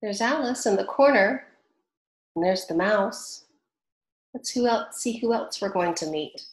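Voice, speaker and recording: A woman reading a storybook aloud in an unhurried, chatty way, pausing to comment. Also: mid-range voice, lively intonation. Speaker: reader. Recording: echoey room.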